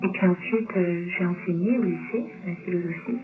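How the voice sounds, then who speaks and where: A woman from Romania, native in Romanian, talking reflectively and hesitantly as a guest in an interview. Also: a little fast. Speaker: novelist, radio guest. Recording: tinny low-bitrate shortwave radio, clear noise.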